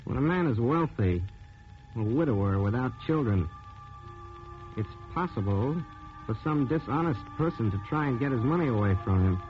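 A man's voice: mid-range voice, lively intonation, moderate pace. Old time radio host guy, dramatic scripted intro, muffled sound, bit of music behind. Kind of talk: 1940s radio scripted narration. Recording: dull 1940s radio recording.

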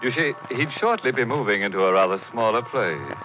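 An actor playing a macabre, wryly joking mystery host, narrating deliberately in 1940s radio horror style on a tinny recording. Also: male voice, mid-range voice, expressive, close to an everyday voice. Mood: ghoulishly amused. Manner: tongue-in-cheek, deliberate. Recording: tinny old radio recording with loud organ music.

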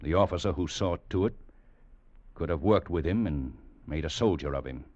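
A stern, exacting cavalry captain, with a low voice and clipped, formal delivery. He lectures like a seasoned officer. The sound is a dull vintage radio recording. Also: normal speed, a little mellow, dry recording. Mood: grave, commanding. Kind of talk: 1940s radio drama acting.

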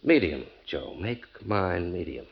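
An actor playing a husband who shifts between amusement and menace in an old-time radio drama, speaking in clipped, insistent phrases. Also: male voice, deep voice, very animated, measured pace, creaky, full character voice. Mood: quiet menace. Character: Ernie, husband, by turns amused and quietly menacing. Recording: dull old radio recording.

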